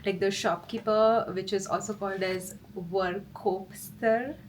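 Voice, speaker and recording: A woman's voice: medium pitch, steady tone, a little slow. Chatty female vlogger, noisy room. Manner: informal, chatty, frequent "like" as filler. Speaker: lifestyle vlogger. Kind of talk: casual vlog chat.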